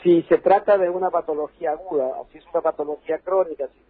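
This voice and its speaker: A man's voice: fairly high pitch, steady tone, fast talker, husky, no echo. An Argentine man, a provincial health official, explaining rules insistently in a radio interview by phone, repeating points for emphasis. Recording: dry, tinny phone line.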